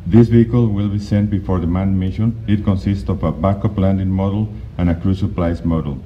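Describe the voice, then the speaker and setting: Man, very low voice, halting conference presenter with many restarts; dull lo-fi recording, faint hiss. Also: fairly even intonation. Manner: halting, with frequent restarts and fillers. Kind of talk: slide presentation talk. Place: conference hall.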